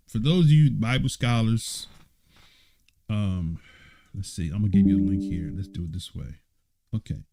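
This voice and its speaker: A man's voice: medium pitch, a little mellow. A man serving as an elder, teaching an informal Bible study. He reads scripture aloud, explains it in a conversational way, and keeps checking in with "right?" and "okay?". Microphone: streamed online talk.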